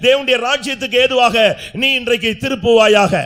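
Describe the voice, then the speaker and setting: A man preaching an earnest, exhorting sermon in short phrases, pausing for an interpreter. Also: very high-pitched, a bit bright.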